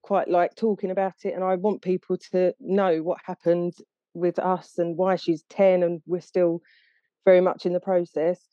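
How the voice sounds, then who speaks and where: Essex woman chatting as a guest, soft mellow sound, bit halting, really dry room. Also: fairly low pitch, level tone, no echo, dull audio. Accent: Essex English. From Essex, England. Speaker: podcast guest, charity founder. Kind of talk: casual conversational interview.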